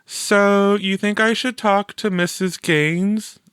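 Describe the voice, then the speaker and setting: A man's voice: sits high, steady tone, slow pace, fairly bright tone, smooth, no echo, clean studio sound, pitched above the performer's own voice. A man voicing a young seventh-grade schoolgirl in a storybook, polite and questioning. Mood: politely curious.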